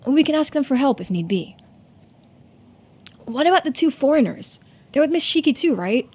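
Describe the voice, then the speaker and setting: A woman's voice: high-pitched, very animated, moderate pace, a little mellow, pitched above the performer's own voice. Earnest, anxious young game hero, voiced by a woman. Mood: questioning. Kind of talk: video game dialogue read-aloud.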